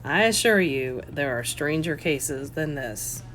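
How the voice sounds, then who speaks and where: A woman voicing a guarded, gruff but courteous male police detective in an audiobook, measured and terse. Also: sits low, lively intonation, a bit bright, faint music behind, voice pushed down, low-quality recording. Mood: dry. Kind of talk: audiobook character voice.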